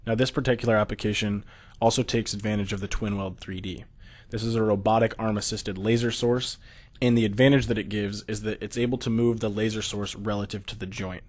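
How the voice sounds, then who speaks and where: An American man narrating a technical slideshow, explaining steadily with occasional fillers. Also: lowish voice. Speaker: product presenter.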